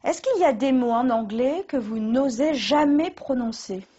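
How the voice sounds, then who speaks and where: Patient woman interviewer, high pitch, dull recording. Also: animated, normal speed, dark tone, slightly rough. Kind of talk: interview questions.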